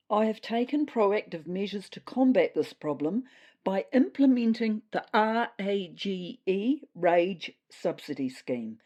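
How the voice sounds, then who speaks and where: A woman's voice: mid-range voice, warm tone, voice pushed up. A woman with a New Zealand accent voicing a bossy, officious female cat who speechifies earnestly and pompously in a comedy story. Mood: grave, rallying.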